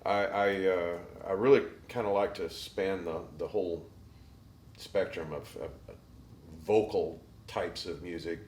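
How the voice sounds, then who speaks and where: A male singer as a guest in a casual interview, speaking haltingly and repeating words before finishing his thoughts. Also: deep voice, darkish tone, slight background noise. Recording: roomy with faint ambience.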